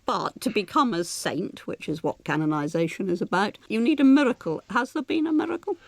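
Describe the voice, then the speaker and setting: A woman presenting a scripted documentary in a measured, articulate way. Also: mid-range voice, animated, creaky, no room sound.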